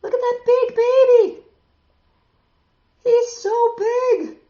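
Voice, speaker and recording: A woman reading picture books aloud to children, expressive and exclaiming, lingering on words and adding chatty asides. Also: extremely high pitch, slow pace. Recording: dull, echoey room recording.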